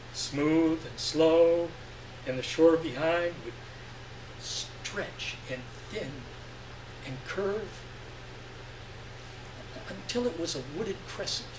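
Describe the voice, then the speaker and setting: Guy reading a story out loud, expressive w dramatic pauses, echoey room, bit of background noise. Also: on the high side. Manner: deliberate phrasing with dramatic pauses. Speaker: story reader. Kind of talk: expressive live literary reading. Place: live reading event indoors. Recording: echoey room, distant mic, faint hum.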